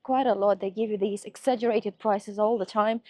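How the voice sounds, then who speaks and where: A woman's voice: mid-range voice, steady tone, normal speed, a little mellow, no room sound. A woman chatting to viewers as a travel vlogger, explaining things casually, her voice slightly affected by a cold. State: slight head cold, voice a bit off. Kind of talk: casual travel vlog narration to camera.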